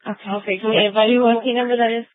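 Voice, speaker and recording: Female police dispatcher, fast clipped speech, mid-pitched, tinny dry scanner radio. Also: flat delivery, dry recording.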